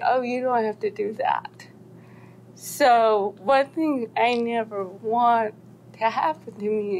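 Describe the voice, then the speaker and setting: A woman in a discussion group sharing her experience, her speech slightly slurred and halting, with frequent restarts. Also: on the high side, a little mellow, slight background noise, rough recording. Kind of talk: personal testimony.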